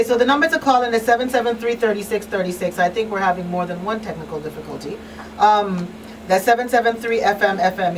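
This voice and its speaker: A woman hosting a live call-in radio talk show in an informal, unscripted way. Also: medium pitch. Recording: slightly distant mic, faint noise.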